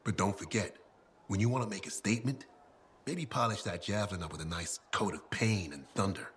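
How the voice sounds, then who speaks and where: A man's voice: fairly low pitch, a little fast, slight background noise, lightly acted. An actor playing a boastful male merchant who sells custom gear, speaking in colorful salesman patter. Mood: salesy. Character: boastful male weapon decal merchant, showman. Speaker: craftsman merchant. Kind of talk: video game dialogue.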